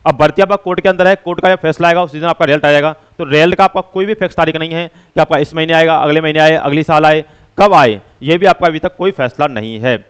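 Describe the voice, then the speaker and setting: A man's voice: on the high side, fast talker, smooth. A man giving an informal explainer to students as a coaching teacher, with repetitive, filler-heavy phrasing. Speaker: coaching teacher hosting exam update videos.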